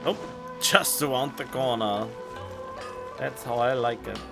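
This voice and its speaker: A man's voice: medium pitch, a little slow, vocal fry. A man giving casual, off-the-cuff commentary as he streams a video game. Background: game music.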